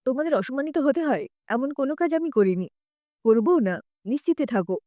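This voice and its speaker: A woman voicing a gentle, resolute ageing man, soft but firm, in an audio story. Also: high voice, expressive, a little fast, no echo, studio quality. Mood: firm, wounded.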